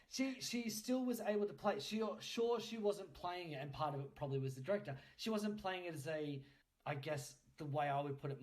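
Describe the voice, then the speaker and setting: Casual male podcast host. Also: high voice. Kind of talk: casual chat interview.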